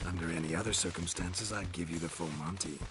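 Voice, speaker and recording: A man acting a gruff monster hunter from a fantasy game, with an extremely low, gravelly voice. He speaks tersely and drily, over loud game noise. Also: quick pace, lightly acted. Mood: dry, terse. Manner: deadpan, terse. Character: gruff, dry-witted male sword-carrying monster hunter.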